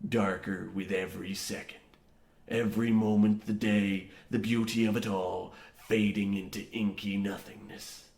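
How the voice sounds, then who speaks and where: An actor playing a grizzled, half-mad old coal miner, boastful and ominous, rambling theatrically in rustic archaic phrasing in a comic old-time radio horror play. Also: male voice, lowish voice, flat delivery, a little slow, gravelly, darkish tone. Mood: wistful, grandiose. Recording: roomy, echoing sound.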